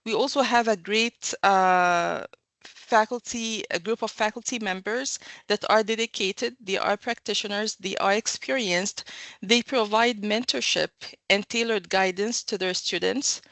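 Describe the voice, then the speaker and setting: A woman whose voice carries a gravelly fry. She speaks to a close mic in a dry, dead room, answering interview questions at an explanatory pace and correcting herself mid-sentence now and then. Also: medium pitch, a bit bright, dry recording, low-quality recording. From Egypt. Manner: measured, explanatory, with fillers and self-corrections. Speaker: university academic guest.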